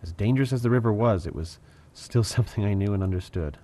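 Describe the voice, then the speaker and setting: A man's voice: fairly low pitch, quick pace, warm tone, lightly acted. An actor playing a weary survivor who reflectively narrates his journey in an audio drama. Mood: somber, reflective.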